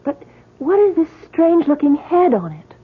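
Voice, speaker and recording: An earnest, hesitant young college woman in a vintage radio drama. Her voice is high, a bit husky and very animated in pitch. The sound is thin and boxy, like an old broadcast. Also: moderate pace. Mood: eager, polite. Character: eager, hesitant young female college student. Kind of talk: 1970s radio drama acting. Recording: muffled vintage 1970s radio recording.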